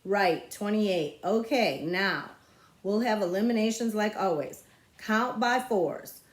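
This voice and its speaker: A woman playing a loud, bossy schoolboy. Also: mid-range voice, slow, reverberant, close to an everyday voice. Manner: loud, commanding. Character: bossy fifth-grade boy organizing races.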